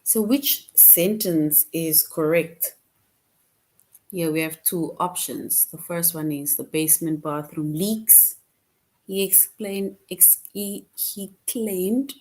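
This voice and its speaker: A woman teaching a children's grammar lesson online, reading out answer choices slowly and patiently. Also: on the low side, a little mellow. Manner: slow, patient, reads answer options aloud.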